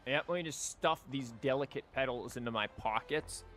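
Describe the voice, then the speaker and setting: Guy streaming a game, rambly wry commentary, some music quietly behind him. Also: mid-range voice, moderate pace. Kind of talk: casual live game commentary.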